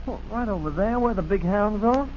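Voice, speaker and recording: A man's voice: sits high, brisk, noisy background, close to an everyday voice. An actor in a 1940s radio drama playing an earnest, guileless teenage boy from the backwoods. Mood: dazed. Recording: tinny, band-limited 1940s radio.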